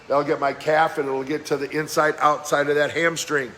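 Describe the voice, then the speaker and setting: A man leading a live-streamed workout as fitness coach, giving brisk, encouraging exercise cues in a slightly hoarse voice that keeps cracking. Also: fairly high pitch, little pitch movement, sharp tone. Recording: echoey room with faint background noise.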